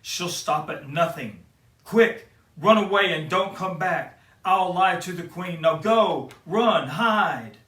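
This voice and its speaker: A man's voice: high voice, animated, slow, fairly bright tone, pitched above the performer's own voice, heavily acted. A guilt-ridden huntsman, voiced by a man, urgent and pleading as he raises his voice. The sound is roomy and a little distant from the mic. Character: remorseful male huntsman, urgent.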